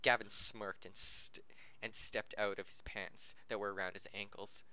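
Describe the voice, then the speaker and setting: A man hosting a podcast reads a story aloud in a bright, forward voice with very little room sound. He is amateurish and giggly, and he trips over words and restarts phrases. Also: lowish voice, fairly even intonation, moderate pace, no echo. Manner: stumbles over the text, giggly. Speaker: podcast host reading fan fiction aloud. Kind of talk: amateur story reading, often breaking up.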